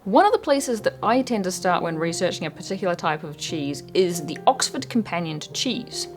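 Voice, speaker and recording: A young woman from New Zealand with a New Zealand accent, hosting a history channel in clear, measured scripted narration. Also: fairly low pitch, big pitch swings, over music.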